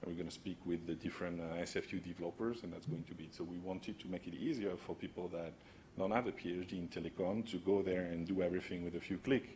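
Low-voiced man giving a conference talk. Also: fairly even intonation, fast, dark tone, slight background noise. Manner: casual explaining with frequent "right?" tags. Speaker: conference speaker. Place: conference room, large indoor hall. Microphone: podium microphone.

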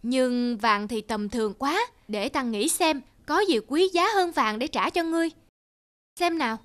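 A woman's voice: high voice, unhurried. A woman voicing a grand, curious, easily delighted middle-aged king in a cartoon fairy tale, with pompous, exclamatory delivery. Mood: grandly generous.

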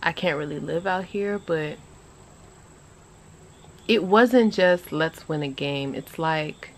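A woman in her twenties chatting casually to a phone camera on an outdoor porch, animated and rambling. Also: takes it slowly, a little hiss or hum. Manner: animated, chatty, rambling with lively pitch jumps. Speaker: vlogger. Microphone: phone camera at arm's length.

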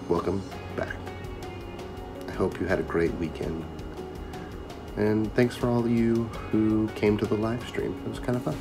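A lower-voiced man in his thirties chats easily from a small print shop, speaking into a camera mic. Faint music sits under his voice. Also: vocal fry. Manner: easygoing, instructs the other man offhand. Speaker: vlogger running a print shop. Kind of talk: casual vlog talk.

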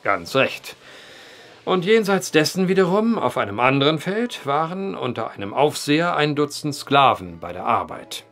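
An actor playing a wry male journalist who tells an eerie old tale with deliberate phrasing in an audio drama. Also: fairly high pitch, big pitch swings, a bit bright, soft background music. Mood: measured storytelling. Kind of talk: German audio drama acting, storytelling.

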